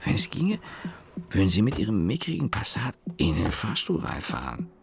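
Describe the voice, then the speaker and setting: Ein Schauspieler als verkaterter junger Mann, der trocken und in knappen Satzfetzen seine Nacht erzählt, im Hörspiel. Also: male voice, lowish voice, wildly swooping pitch, normal speed, creaky, background noise, exaggerated voice. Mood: sardonic. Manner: clipped fragments, dry, sardonic. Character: weary hungover young man narrating his night. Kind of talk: radio drama monologue. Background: music.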